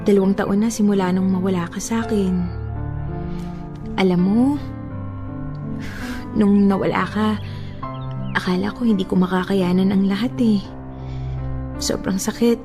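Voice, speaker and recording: An actress playing a gentle, guarded young widow in a Filipino radio drama, with an emotional, heartfelt delivery. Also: female voice, medium pitch, fairly even intonation, measured pace, pauses often, natural voice. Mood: grieving. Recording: music behind the voice.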